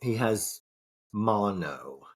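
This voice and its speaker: A man's voice: lowish voice, lively intonation, extremely slow. A man co-hosting a podcast in casual chat, rambling and swearing, often restarting his sentences. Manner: rambling, profane, frequent restarts. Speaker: podcast co-host.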